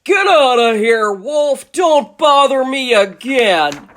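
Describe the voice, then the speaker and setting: A woman voicing an irritable, rude little male pig in a storybook tale, yelling defiantly. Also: high voice, sing-song, slow pace, voice pushed up, heavily acted. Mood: rude, annoyed.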